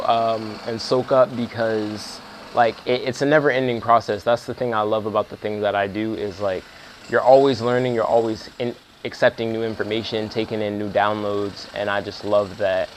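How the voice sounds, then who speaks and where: A young man, a music producer, talking casually to the camera at a production workshop, with loose slangy speech that heats up when he gets excited. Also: fairly low pitch, moderate pace, a little hiss or hum. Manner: laid-back slangy talk, gets fired up when excited. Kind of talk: casual vlog talk to camera.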